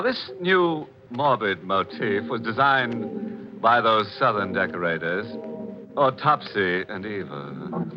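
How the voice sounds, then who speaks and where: An actor playing a ghoulish, theatrical host and narrator of a 1940s radio horror show, drawing out his words with sinister relish. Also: fairly low pitch. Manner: drawn-out, pun-loving, sinister relish. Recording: dull 1940s radio recording, organ music.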